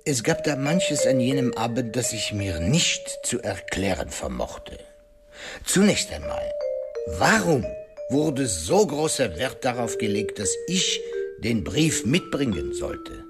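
An actor playing a shrewd, self-satisfied detective in a German radio crime drama, courteous and deliberate in his questioning. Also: medium pitch, very animated, loud music behind the voice. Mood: confident, explanatory.

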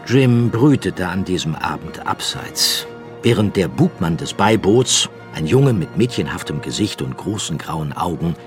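Casting: male narrator for literary radio play, very low voice, measured delivery over faint music. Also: sing-song. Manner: measured, storytelling in long literary sentences.

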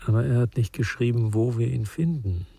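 An actor playing a self-assured psychotherapist turned investigator, probing and insistent, in a German radio crime drama. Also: male voice, mid-range voice, brisk, creaky, warm tone, husky, dry recording. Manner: insistent, certain.

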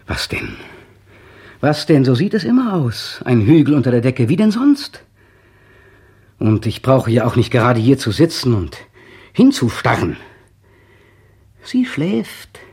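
An actor playing an anxious young man in a radio play monologue, brooding and restless, talking to himself in a husky, breathy voice. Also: medium pitch, darkish tone, full character voice. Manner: restless, swings from muttering to feverish elation.

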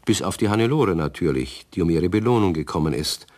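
A man's voice: deep voice, lively intonation, a little fast, a little mellow. A man playing a wry, fatherly narrator of a children's radio play. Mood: content, gently moralizing. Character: wry, fatherly male storyteller. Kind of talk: scripted children's story narration.

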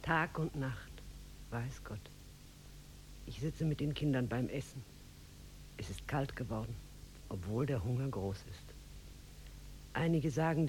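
A man's voice: medium pitch, a little mellow, close to an everyday voice. An actor playing a despairing, careworn family man who speaks with bleak resignation in a 1950s German radio play. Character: despairing, careworn letter writer with a hungry family. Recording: humming, noisy 1950s radio recording.

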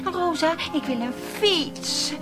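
A woman's voice: very high voice, animated, slow, over music, full character voice. An actress in a radio play, playing a bitter, lonely woman who resents caring for her brother, with a brittle, intense manner. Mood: bitterly mocking. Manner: brittle and intense, mimics others mockingly.

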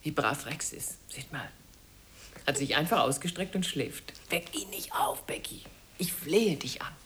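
A woman's voice: low-pitched, lively intonation, unhurried, fairly bright tone, whispered. An actress playing a bossy but doting mother in a German radio play, by turns commanding and coaxing. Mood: gentle scolding. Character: bossy, doting mother organising children for a portrait.

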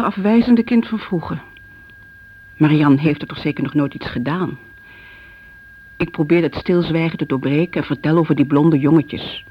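A woman's voice: deep voice, a little hiss or hum, lightly acted. An actress as a fretful older Dutch mother. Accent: Netherlands Dutch. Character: older mother, fretful, judging, wounded by family rifts. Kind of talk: radio drama monologue. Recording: dull radio recording, faint music.

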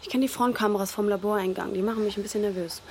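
A woman's voice: medium pitch, fairly even intonation, very fast, a little mellow, slight background noise, natural voice. An actress playing a cool, self-assured dealer in her twenties, speaking directly and in a businesslike way in a radio drama. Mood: probing. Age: 20s. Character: cool, self-assured 25-year-old female drug dealer. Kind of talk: naturalistic radio drama dialogue.

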